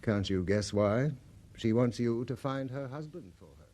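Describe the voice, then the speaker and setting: An actor playing an anxious, courteous financier in a radio drama, speaking earnest British English with polite hesitations. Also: male voice, mid-range voice, moderate pace, mellow, natural voice. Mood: earnest, worried. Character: anxious, courteous financier worried about his highly strung sister.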